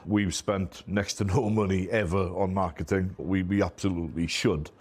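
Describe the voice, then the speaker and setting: A man's voice: low voice, fairly even intonation, moderate pace, a little mellow, slightly rough. A middle-aged man from Wales with a Welsh accent, a Welsh first-language speaker, talking casually and self-deprecatingly as an interview guest, often saying "you know". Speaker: MSP business owner, guest.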